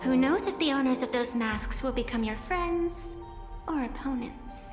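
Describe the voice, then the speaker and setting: A woman playing a mysterious, composed young female video game character, speaking in a measured, theatrical, knowing way. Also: high-pitched, over music, lightly acted. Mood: ominous.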